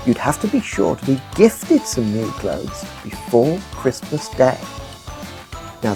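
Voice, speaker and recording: A woman plays an excitable puppet news host in a children's comedy show. The delivery is emphatic and very lively in pitch, yet unhurried. Faint music sits behind the voice. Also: gravelly, heavily acted. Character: excitable, chatty big-snouted puppet news anchor.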